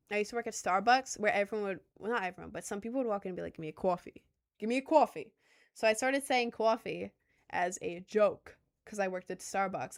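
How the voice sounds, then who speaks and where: A young woman in her twenties from Maryland with a light Southern accent, chatting casually with viewers in a rambling, self-deprecating way. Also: mid-range voice. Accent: light Southern American mixed with New York. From Maryland, USA. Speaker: content creator. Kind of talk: casual livestream chat with viewers.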